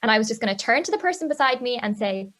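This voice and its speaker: A woman's voice: fairly high pitch, animated, very fast, a bit bright. A young woman, a law student on a video call, chatting casually with frequent laughs and fillers. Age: young adult. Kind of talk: casual webinar chat.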